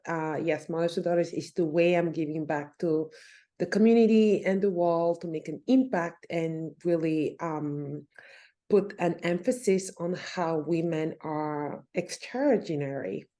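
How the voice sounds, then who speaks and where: Lower-voiced woman from Cameroon, reflective. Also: a little slow. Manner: warm, reflective, many fillers and restarts. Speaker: podcast guest, nonprofit founder. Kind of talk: casual interview conversation.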